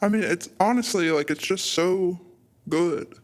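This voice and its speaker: Male guest, lightly high-pitched, dry mock-serious delivery in casual chat. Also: unhurried. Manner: deadpan, mock-serious joking. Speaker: podcast guest, voice actor.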